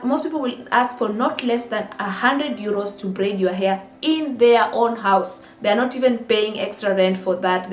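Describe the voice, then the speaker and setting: A young adult woman from Kenya with a Kenyan accent, chatting casually to camera as a vlogger, full of exclamations and asides. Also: mid-range voice. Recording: echoey room with faint music.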